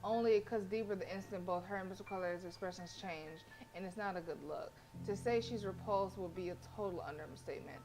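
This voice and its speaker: A woman's voice: mid-range voice, fairly quick, hardly any pauses, over music, poor audio. A woman game streamer reading story narration aloud and reacting chattily and expressively on a livestream.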